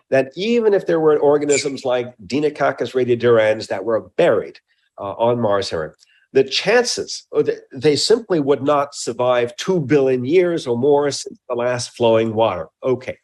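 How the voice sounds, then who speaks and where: A man being interviewed as a scientist, explaining his research emphatically and haltingly, often repeating words. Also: mid-range voice, a little mellow.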